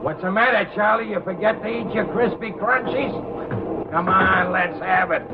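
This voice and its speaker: An actor playing a breezy garbage-truck worker in a 1950s radio drama, joshing in working-class slang. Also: male voice, sits high, moderate pace. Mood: joshing, startled. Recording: muffled radio recording, loud machinery noise.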